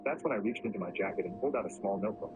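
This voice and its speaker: A man narrating a first-person story in a steady, even storytelling delivery. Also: fairly low pitch, very fast, over music. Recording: muffled lo-fi recording with clear background noise.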